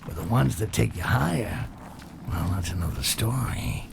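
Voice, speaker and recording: A man voicing a cynical, sardonic goblin thief in a video game, muttering dry wisecracks to himself in a gravelly voice. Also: on the low side, animated, moderate pace. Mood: amused, sardonic. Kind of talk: video game character monologue. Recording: clear background noise, game ambience.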